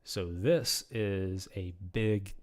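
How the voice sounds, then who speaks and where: A man with a lowish, slightly scratchy voice that sounds like it is wearing out. He explains a game in a loose, unscripted ramble, stopping and restarting his sentences often. His delivery stays easygoing and conversational. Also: drawn-out words. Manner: rambling, hesitant, full of ums and restarts; relaxed, casual explaining. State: slightly hoarse, losing his voice. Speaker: game guide video creator. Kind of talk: unscripted game tutorial commentary.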